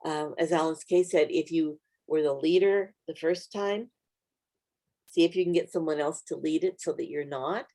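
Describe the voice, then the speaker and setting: A middle-aged woman with a somewhat low voice co-hosts a Bible study. She talks in an earnest, encouraging way and passes on practical advice in a casual conversation. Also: normal speed, dark tone. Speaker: Bible study author and co-host.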